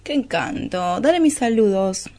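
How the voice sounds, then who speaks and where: A woman's voice: medium pitch, lively intonation, unhurried, a little mellow, lightly acted. Radio drama mother, played by an actress: Argentine, middle-class snob, meddling and affected, showering endearments on her grown daughter. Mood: curious, snobbish. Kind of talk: radio play acting.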